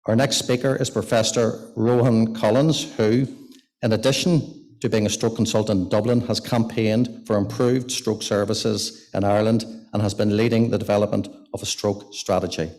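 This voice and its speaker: A man with a slightly low voice reads a formal prepared speech into a lectern microphone. He speaks as the event's host in a parliament building gallery and introduces the next speaker. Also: fairly even intonation, moderate pace, darkish tone. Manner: formal, read from notes at a lectern.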